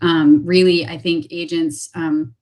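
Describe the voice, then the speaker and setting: A woman's voice: low-pitched, level tone, measured pace, darkish tone. A woman talking business as a guest on a video call, upbeat and full of fillers, repeating her phrases for emphasis.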